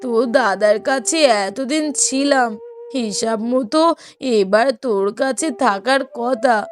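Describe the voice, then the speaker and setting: A woman voicing a frail, humble elderly mother in a cartoon story, slow and beseeching. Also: fairly high pitch, faint music behind, close to an everyday voice. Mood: pleading. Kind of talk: cartoon moral story voice acting.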